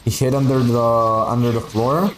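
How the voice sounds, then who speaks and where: A man's voice: medium pitch, level tone, normal speed, fairly bright tone, poor audio. A man whose first language is Spanish, giving casual, rambling gaming livestream commentary with playful, sweary grumbling. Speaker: game streamer.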